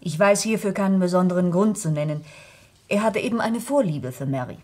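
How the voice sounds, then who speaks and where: A woman's voice: on the low side, brisk, a little mellow, lightly acted. An actress playing a proud, guarded young woman under questioning, answering in a terse, formal way in a vintage German radio crime drama.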